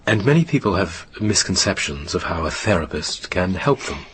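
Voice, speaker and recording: A man playing a formal, careful psychotherapist who probes with measured pauses in a naturalistic radio drama. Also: low-pitched, big pitch swings, fairly bright tone, slight background noise. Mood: courteous, professional. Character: measured, probing American male psychotherapist. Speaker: actor in a radio play.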